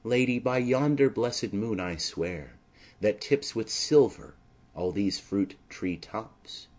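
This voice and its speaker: A man voicing an ardent, bold, lovestruck young nobleman in earnest, measured Shakespearean verse. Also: on the low side, lively intonation, a little mellow.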